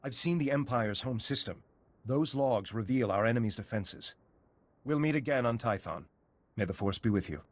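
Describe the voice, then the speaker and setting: An actor playing a grave, weary, duty-bound Jedi scout in a video game. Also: male voice, medium pitch, expressive, normal speed, natural voice. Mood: grave, urgent. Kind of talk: video game cutscene acting.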